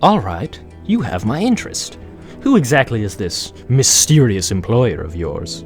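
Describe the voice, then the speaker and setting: A man's voice: mid-range voice, very animated, unhurried. A man playing a bitter, vengeful unicorn guard turned villain in a cartoon audio drama, haughty and dramatic. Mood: intrigued, haughty. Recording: music playing behind.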